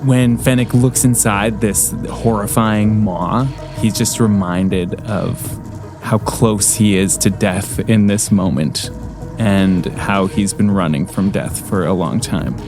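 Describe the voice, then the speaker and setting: Low-voiced man playing a tabletop game. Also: steady tone, slow, music in the background. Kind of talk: casual play with character narration.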